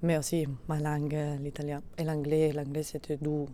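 A woman's voice: deep voice, monotone, moderate pace, warm tone, a little hiss or hum. A young woman from Italy, a language student, speaking French with an Italian accent, hesitantly searching for words.

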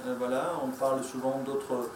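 Homme à l'accent espagnol, hésitant. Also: male voice, medium pitch, little pitch movement, normal speed, slight background noise. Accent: Spanish. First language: Spanish. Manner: hesitant, many fillers and restarts. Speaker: conference speaker. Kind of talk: conference presentation. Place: conference room. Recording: echoey room, mic from far off.

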